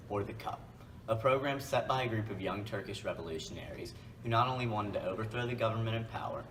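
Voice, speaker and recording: A young man reading a scripted report as a student reporter. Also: fairly low pitch. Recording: distant mic, clear background noise.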